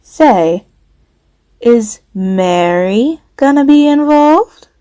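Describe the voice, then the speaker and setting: A woman's voice: on the high side, animated, extremely slow, warm tone. Female actor as a friendly, apologetic young woman; casual and chatty.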